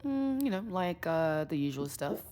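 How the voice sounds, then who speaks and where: A woman's voice: lowish voice, big pitch swings, normal speed, mellow, smooth. A woman playing a curious, incredulous, wry young woman on a date in a naturalistic audio drama, reacting with surprise and dry asides. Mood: explaining. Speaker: lead actor in a fiction podcast.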